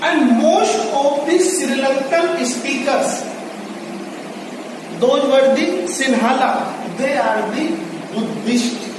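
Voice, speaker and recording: A man lectures at a very slow, deliberate pace in a high voice, repeating his key points and figures. He sounds far from the microphone, in a big echoey classroom with a long reverb tail and steady background noise. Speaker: school teacher. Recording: echoey hall, distant mic, background noise.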